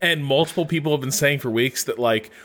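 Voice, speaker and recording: A man co-hosting a motorsport podcast, telling stories casually and excitedly, acting out what people said. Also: medium pitch, brisk.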